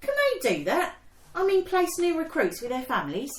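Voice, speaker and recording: An actress playing a chatty, excitable young woman of the home front in a wartime radio play. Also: sits high, moderate pace. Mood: bright, gossipy. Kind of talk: period radio drama acting. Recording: echoey room.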